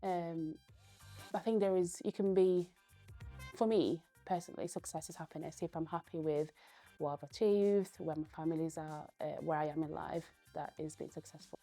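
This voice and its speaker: A young woman, a Spanish speaker from Spain, giving earnest, thoughtful interview answers and pausing often to gather her words. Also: low voice, warm tone, soft background music. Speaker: guest, powerlifter.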